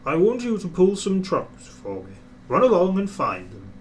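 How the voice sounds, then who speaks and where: A man's voice: high voice, huge pitch swings, slight background noise, voice pushed up. A man voicing a railway controller in a children's storybook, authoritative, stern yet kindly. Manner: measured authority, reproving.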